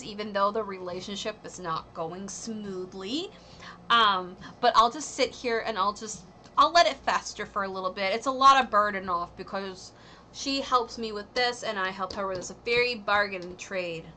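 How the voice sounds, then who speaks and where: A woman in her thirties talks through a tarot reading on a casual home livestream, her voice bright and forward. She rambles, hesitates and fills gaps with ums and likes, over a faint hiss in the background. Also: medium pitch, moderate pace. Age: 30s. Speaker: tarot reader and streamer.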